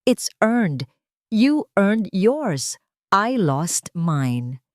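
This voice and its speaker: A woman's voice: medium pitch, sing-song, very slow, dry recording, studio quality. A woman voicing a bitter fallen society woman in an audio story, resigned and declamatory. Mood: bitter resignation.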